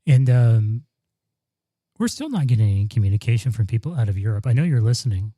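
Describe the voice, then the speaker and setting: A man's voice: medium pitch, big pitch swings, fairly quick, dark tone, dry recording. A man co-hosting a podcast in casual chat, rambling with many ums and restarts, on a studio dynamic mic. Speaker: podcast co-host. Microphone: broadcast dynamic studio mic.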